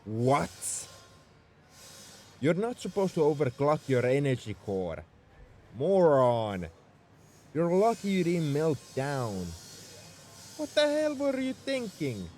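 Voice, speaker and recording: A man's voice: on the high side, big pitch swings, slow pace, long pauses, a little mellow, slight background noise. A man playing a tsundere mech engineer in a roleplay audio drama, scolding and sarcastic with worry underneath. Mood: angry, incredulous. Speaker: voice actor.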